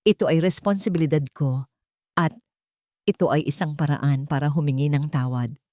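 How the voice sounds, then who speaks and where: Woman reading as a solemn, remorseful old grandfather, low-voiced. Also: fairly even intonation, moderate pace, no echo, studio quality, lightly acted. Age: older. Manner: soft-spoken, solemn, apologetic. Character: remorseful, powerful wealthy grandfather, gentle and earnest.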